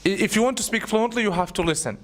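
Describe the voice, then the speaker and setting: Young male teacher, high voice, animated, repeats himself mid-thought, classroom Q&A. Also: rapid-fire. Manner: animated, repeats words while thinking. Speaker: English teacher. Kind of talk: classroom question-and-answer.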